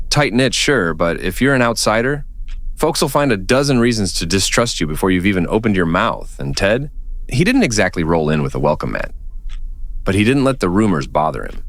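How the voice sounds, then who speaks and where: A man's voice: on the low side, a bit bright, dry recording. A man playing an earnest, plainspoken small-town local in an audio drama, telling his story in a folksy, reflective way. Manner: earnest, folksy, deliberate phrasing.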